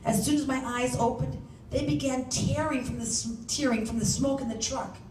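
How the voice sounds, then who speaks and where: Female author reading own novel, higher-pitched, animated storyteller, recorded at a distance in an echoey room. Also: moderate pace. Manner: animated, acts out shouted dialogue. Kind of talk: dramatic first-person story reading. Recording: roomy, distant mic, faint background noise.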